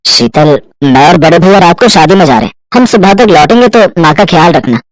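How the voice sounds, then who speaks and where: A man voicing a brisk, practical young husband in a storybook tale. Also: on the high side, lively intonation, no room sound, close to an everyday voice, low-quality recording.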